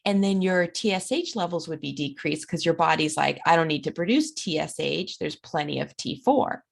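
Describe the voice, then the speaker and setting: Raspy-voiced woman lecturing over slides. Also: low-pitched, moderate pace. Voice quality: raspy, dry throat. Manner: conversational, many fillers. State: recovering from illness. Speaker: instructor.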